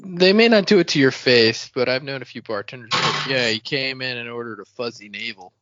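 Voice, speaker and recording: A man's voice: medium pitch, a little fast, dull audio, noisy background. A man co-hosting a podcast in casual, informal chat, acting out short imagined lines as he talks. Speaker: podcast co-host.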